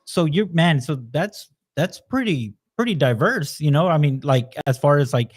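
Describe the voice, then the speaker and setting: A man's voice: fairly high pitch, animated, moderate pace. A man raised in Texas, hosting a podcast and asking loose, rambling questions full of "you know.". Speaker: podcast host. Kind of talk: casual podcast interview.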